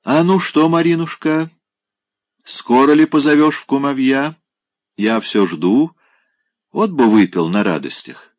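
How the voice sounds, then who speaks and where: A man's voice: medium pitch, lively intonation, measured pace, pauses often, no echo, pitched above the performer's own voice, natural voice. A man voicing a drunken, pompous old clerk who wails in churchy old-fashioned phrases. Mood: wheedling. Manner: churchy archaic phrasing, wailing.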